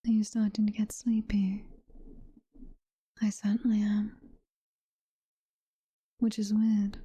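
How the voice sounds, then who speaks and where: A woman playing a doting, possessive demon mommy girlfriend in an ASMR roleplay, whispering softly and tenderly with a British accent. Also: medium pitch, completely flat delivery, no echo. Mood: sleepy, affectionate. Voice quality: breathy, soft-spoken whisper. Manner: slow, tender, coaxing. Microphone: close ASMR microphone.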